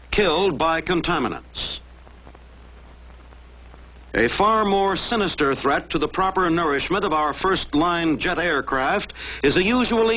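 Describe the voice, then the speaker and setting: A man's voice: fairly high pitch, fairly bright tone. An American man narrating a mid-century military training film from a script in a dramatic, emphatic manner. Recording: dull lo-fi old film soundtrack.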